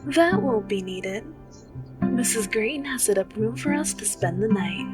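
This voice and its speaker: A woman's voice: fairly high pitch, expressive, measured pace, loud music behind the voice. A woman playing a weary, practical member of a working family in an audio drama.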